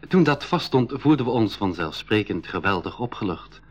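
A man playing a curious young boy adventurer in a school radio drama, with a slightly husky voice. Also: fairly low pitch, sing-song, normal speed, highs cut off, soft background music. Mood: reflective narrating. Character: Piet, curious young boy adventurer who also narrates. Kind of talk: 1980s school radio drama acting and narration.

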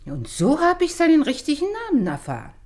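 Lively-pitched housekeeper. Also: female voice, brisk. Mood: recounting. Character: talkative female housekeeper giving her account. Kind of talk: German radio crime drama acting.

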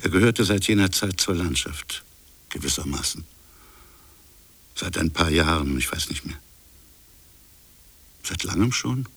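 Colonial official for radio drama monologue: low, husky, haunted, halting and inward. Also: male voice, animated, a bit bright, no echo, full character voice. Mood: musing, doubtful. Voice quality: gravelly, husky. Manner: halting, musing, fragmented phrases. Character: brooding French colonial official haunted by uncertain memories.

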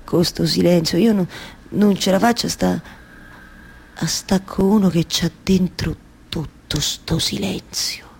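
An actress playing a weary, talkative weaver wife in a theatrical monologue, speaking colloquially with a Roman accent and repeating phrases haltingly. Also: female voice, lowish voice, creaky. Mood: anguished. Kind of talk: theatrical monologue for radio theatre with live music.

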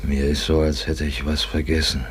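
Radio play: brooding, insistent middle-aged farmer, extremely deep bass, faint noise behind. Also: male voice, little pitch movement, moderate pace. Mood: puzzled, uneasy. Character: brooding, troubled farmer and father at the table. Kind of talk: German radio drama acting.

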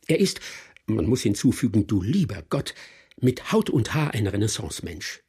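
An actor playing an ironic, educated man in a German radio drama, speaking in an ornate, mannered way with a raspy, creaky voice. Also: on the low side, very animated, moderate pace, dark tone, exaggerated voice. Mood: emphatic, ironic. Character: ironic educated man dissecting an engaged couple with relish.

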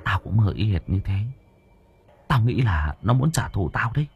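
A cruel old mistress, voiced by a man, hard and contemptuous, her pitch swinging up and down. The voice is husky. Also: sing-song, normal speed, dull audio, heavily acted. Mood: uneasy.

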